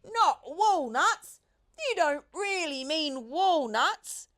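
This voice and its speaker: A woman puts on an excitable monkey for a children's story. The voice is very high and forceful. He exclaims frantically, as if unable to believe anything. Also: very slow, heavily acted. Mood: wildly excited. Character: excitable, incredulous male monkey, shrieking with glee.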